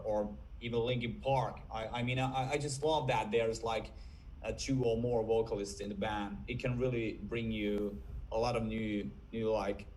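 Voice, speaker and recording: A raspy-voiced man from Finland, a band vocalist answering interview questions earnestly while searching for his words. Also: lowish voice, a little mellow, a little hiss or hum, low-quality recording.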